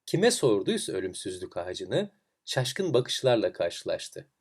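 A man narrating a literary fairy tale in a theatrical, expressive way with deliberate phrasing. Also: on the low side, breathy edge. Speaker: storyteller, host of a tale series.

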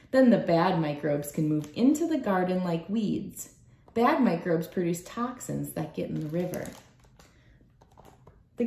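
A woman in her thirties reading a children's book aloud at home in a measured storytelling way. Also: medium pitch, darkish tone. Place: small room at home, indoors. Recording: echoey room.

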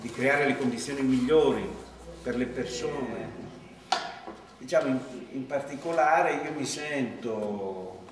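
A man in his fifties giving a reflective talk at a project presentation, pausing often with hesitant fillers. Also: mid-range voice. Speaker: supervisor speaking at a project presentation. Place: large classroom with rows of chairs. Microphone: far camera. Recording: echoey room from far off, background noise.

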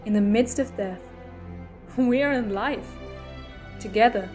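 A woman's voice: fairly high pitch, slow pace, music in the background. A woman playing a quirky, talkative, self-doubting alien time traveller in an audio drama, rambling eccentrically. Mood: solemn. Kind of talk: fan audio drama acting.

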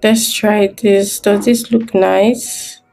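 A woman's voice: mid-range voice, steady tone, very slow, gravelly, darkish tone, faint music behind. A woman giving a screen-recorded design tutorial, thinking aloud step by step as she works.

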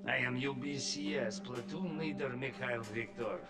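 A man acts out a grave, middle-aged platoon leader in a video game. He is weary and solemn, speaking in a measured way at a middling pitch over loud music. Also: lightly acted. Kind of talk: video game cutscene acting. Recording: music behind.

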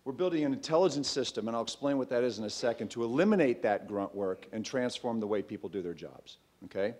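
A man with a mid-pitched voice presents as a startup founder. His delivery is conversational and emphatic, with direct questions to the listeners. He speaks into a microphone on an auditorium stage. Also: fast. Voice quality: loud voice. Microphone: microphone on a lectern stand.

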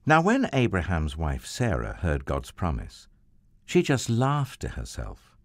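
Deep slow-paced storybook narrator man. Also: no echo, clean studio sound. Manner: slow, expressive, sweeping storytelling.

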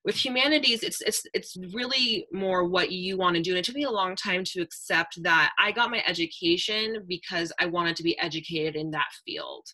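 A young woman talks on a video call panel with an earnest, reflective manner, her voice a touch low but crisp and bright. She fills her thoughts with "like" and "um" as she goes. The sound comes through a simple webcam mic. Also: moderate pace, hardly any pauses, poor audio. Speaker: panel speaker, writer. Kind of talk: casual panel talk. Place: indoor room with a virtual backdrop.

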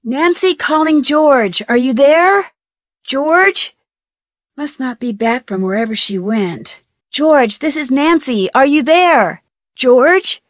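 A woman playing an upbeat, curious young female detective in a video game, youthful, perky and earnest, with clear diction. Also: high-pitched, expressive, slow, no room sound, clean studio sound. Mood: bright, calling out. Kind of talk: scripted video game voice acting, thinking aloud.

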